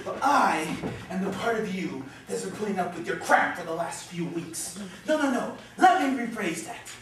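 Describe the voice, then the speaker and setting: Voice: high voice, expressive, unhurried, fairly bright tone. A performer playing a mocking, foul-mouthed male inner voice, taunting and belittling in a theatrical monologue. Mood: exasperated scolding. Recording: distant, echoey room, faint noise.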